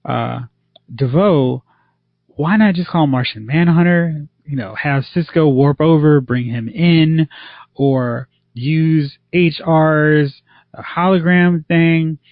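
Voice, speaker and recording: A man's voice: on the high side, slow, no echo. A man co-hosting a podcast, chatting casually and giving opinionated takes on TV episodes. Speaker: podcast co-host. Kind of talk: casual TV review chat. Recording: slightly dull lo-fi audio.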